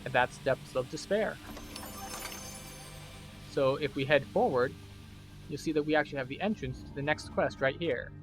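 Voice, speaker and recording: A man's voice: fairly high pitch, normal speed, pauses often, over music. A man giving a casual walkthrough commentary as he plays a video game. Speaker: gameplay guide and streamer.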